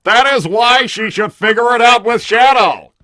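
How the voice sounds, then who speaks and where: Declamatory cartoon character, high, loud, bright, emphatic. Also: male voice, normal speed. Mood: insistent, outraged.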